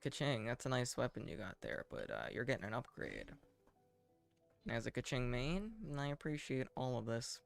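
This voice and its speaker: A man giving casual live game commentary in a deadpan, self-deprecating way, muttering asides to himself. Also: mid-range voice, moderate pace, gravelly, faint music behind. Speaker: game streamer.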